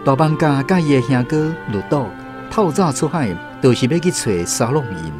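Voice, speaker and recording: A man's voice: mid-range voice, lively intonation, normal speed, music in the background, natural voice. A man with a Taiwanese accent acting as the narrator of a historical radio drama. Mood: solemn narration. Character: storyteller narrator of a historical island tale.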